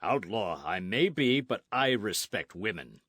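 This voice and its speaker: A dashing masked outlaw, proud and gallant, who issues commands with theatrical force, as a male narrator puts him on. The voice swings widely in pitch. It is heard dry and close, with no room sound. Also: sing-song, measured pace, fairly bright tone, no echo, full character voice. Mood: scornful.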